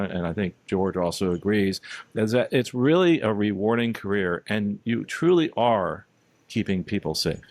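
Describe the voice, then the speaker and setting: Low-voiced male podcast host, dry sound. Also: no echo. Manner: encouraging, addresses listeners directly. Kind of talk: conversational podcast hosting.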